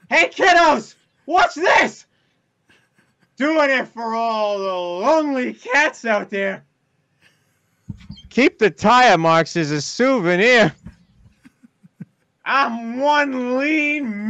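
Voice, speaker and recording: A man's voice: extremely high pitch, gravelly, sharp tone, exaggerated voice. A man voicing a brash, showboating stunt robot in video game character lines. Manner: showman-like, brash. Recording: dull lo-fi audio.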